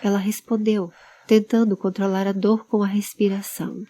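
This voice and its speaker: A woman's voice: mid-range voice, little pitch movement, normal speed, mellow. A woman narrating a scripted fantasy audio story. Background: background music.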